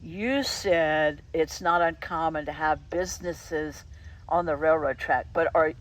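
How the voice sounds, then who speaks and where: A woman's voice: low voice, a little slow, distant mic. A woman hosting a casual interview outdoors, introducing guests and asking questions in a conversational way. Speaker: interviewer and host. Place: outdoors on a dirt and grass lot by a railroad track.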